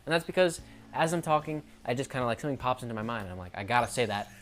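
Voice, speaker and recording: A man's voice: mid-range voice, expressive, racing, fairly bright tone, faint music behind. A man talking casually to camera in an unscripted monologue, rambling and correcting himself mid-sentence, with the odd stutter. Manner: stutters now and then. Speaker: YouTuber.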